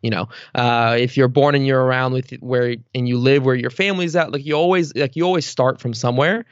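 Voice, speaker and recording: A man's voice: mid-range voice, fairly even intonation, fairly quick, dry recording, lo-fi sound. A man in his thirties, born in Bulgaria, talking loosely as a podcast guest and leaning on fillers like "like" and "you know.". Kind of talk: casual interview chat.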